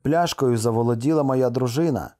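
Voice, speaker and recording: A man's voice: medium pitch, normal speed, dark tone, voice pushed up, close to an everyday voice. A man voicing a brooding husband from a storybook, stern and desperate, with an emphatic delivery. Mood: urgent, persuasive.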